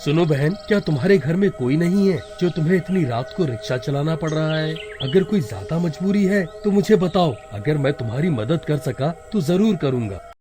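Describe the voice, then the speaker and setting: A man playing a kind young village man in an animated horror story, earnest and sympathetic. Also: on the high side, moderate pace, over music, lightly acted. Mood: concerned.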